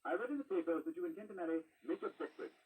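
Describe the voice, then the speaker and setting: A self-assured gentleman detective talks fast with lively swings of pitch. He is courteous, precise and husky, in an old radio drama heard from a distance. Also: heavily acted. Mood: probing. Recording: old radio broadcast, played from afar.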